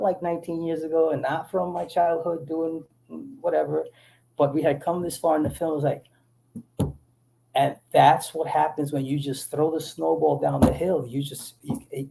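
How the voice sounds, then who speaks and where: A man from the Dominican Republic, an actor guest, telling animated stories in a casual interview with many restarts. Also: medium pitch, vocal fry, poor audio.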